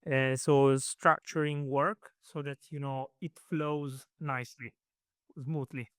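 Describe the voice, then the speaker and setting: A man's voice: mid-range voice, steady tone, slow pace, clear, resonant tone, dry recording, studio quality. A man in his thirties from Italy with an Italian accent and Italian as his first language, answering interview questions casually with many fillers and restarts. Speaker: guest.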